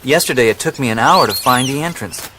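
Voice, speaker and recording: An actor voices a curious explorer puzzling over ruins in a radio play. His lively, questioning voice sits among loud jungle birdsong. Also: mid-range voice, a little fast, background noise, lightly acted. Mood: puzzled. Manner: musing, questioning.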